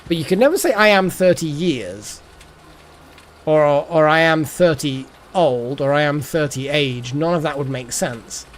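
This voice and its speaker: A man's voice: on the high side, measured pace. Rambling chatty man streaming a game. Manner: rambling, repeats words, muses aloud. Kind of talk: casual live stream chat.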